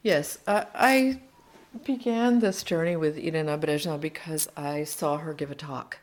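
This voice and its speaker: Low-voiced woman telling stories. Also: a little slow. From United States. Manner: animated, self-deprecating, laughs easily. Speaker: translator, interview guest. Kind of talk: conversational storytelling.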